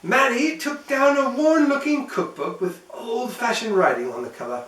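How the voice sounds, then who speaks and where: A man's voice: very high-pitched, animated, moderate pace, a bit bright. A man reading a children's storybook aloud as host, narrating expressively and playfully for young listeners. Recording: roomy echo, mic at some distance.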